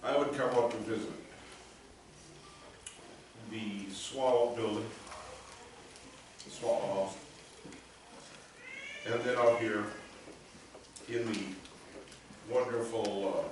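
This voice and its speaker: A man with a big deep voice giving a eulogy, pausing often and laughing between memories. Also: fairly even intonation. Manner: halting, with pauses and fillers, laughs between stories. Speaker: eulogy speaker. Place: memorial gathering, room with listeners. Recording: echoey room from far off, noisy.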